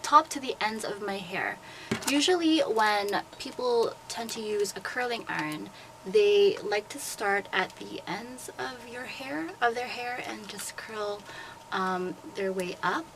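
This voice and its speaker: A young woman with a sore, hoarse throat, chattily explaining step by step how she curls her hair. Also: mid-range voice, lively intonation, takes it slowly, a bit bright, rough recording. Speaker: beauty vlogger and hairstylist. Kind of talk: casual hair tutorial. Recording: noticeable background noise.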